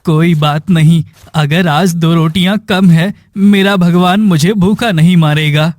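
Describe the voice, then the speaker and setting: Male actor as humble poor cartoon villager: high-pitched, unhurried, earnest, meek, music behind. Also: fairly even intonation, a little mellow, no echo, studio quality, natural voice. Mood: meek, resigned.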